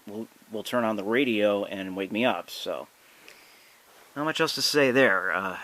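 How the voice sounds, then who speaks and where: A young man giving a casual, unscripted product demonstration in a halting, hesitant, apologetic way. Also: fairly low pitch, pushed and bright, low-quality recording. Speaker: amateur product reviewer.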